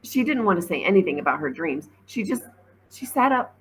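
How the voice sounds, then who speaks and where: Lower-voiced woman reading a story. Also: very animated, brisk, a little hiss or hum, poor audio. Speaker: teacher reading aloud. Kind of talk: expressive storybook narration.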